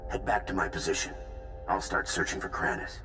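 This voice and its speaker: An actor playing an eager, can-do soldier tech sidekick in a video game, casual in manner. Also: male voice, very deep voice, animated, brisk. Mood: focused, helpful. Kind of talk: scripted video game acting. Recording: music behind the voice.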